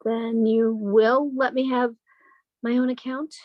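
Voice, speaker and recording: Woman acting a high-voiced earnest sister. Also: little pitch movement, slow pace, no room sound, highs cut off. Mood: meek pleading. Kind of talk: old-time radio drama acting.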